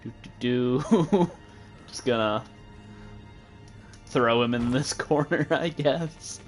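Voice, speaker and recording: Brief: young man, Midwestern American accent, casual jokey streamer, average pitch. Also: takes it slowly, soft background music. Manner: playful, giggly, joking with chat. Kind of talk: casual gameplay commentary.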